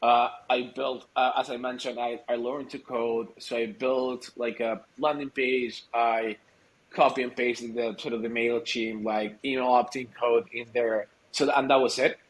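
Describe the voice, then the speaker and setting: A man's voice: mid-range voice, little pitch movement, measured pace, low-quality recording. A man from Argentina whose first language is Spanish, chatting casually as an interview guest and feeling for his words in an informal, hesitant way. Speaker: podcast guest, startup growth executive.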